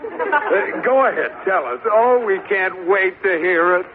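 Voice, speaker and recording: A man's voice: high-pitched, lively intonation, narrow, tinny audio, a crowd in the background. An actor playing a jovial man who humours a friend with forced enthusiasm, in exaggerated vintage radio sitcom style on an old recording. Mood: forced delight. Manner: exaggerated, drawn-out comic reactions. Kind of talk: 1940s-50s radio sitcom acting.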